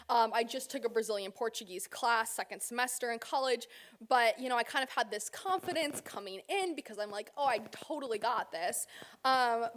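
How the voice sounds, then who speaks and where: A young American woman in her twenties giving an informal, chatty presentation about her internship, with frequent "um" and "you know". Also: fairly high pitch. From United States. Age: 20s. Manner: chatty, many fillers.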